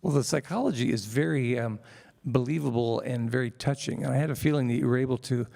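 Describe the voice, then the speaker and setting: Gravelly-voiced man interviewing an author. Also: mid-range voice, warm tone. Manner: admiring, measured questions. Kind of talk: live onstage interview. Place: live event hall with audience.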